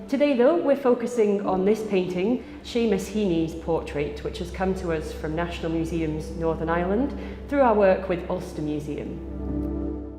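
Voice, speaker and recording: A woman giving a scripted presentation as coordinator of a gallery exhibition, introducing an artwork. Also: on the low side. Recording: echoey hall with long reverb.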